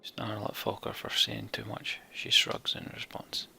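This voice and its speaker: A man's voice: on the low side, completely flat delivery, normal speed, gravelly, sharp tone, slight background noise. A man hosting a game playthrough, reading the story text aloud dramatically and adding casual commentary. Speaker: let's play host.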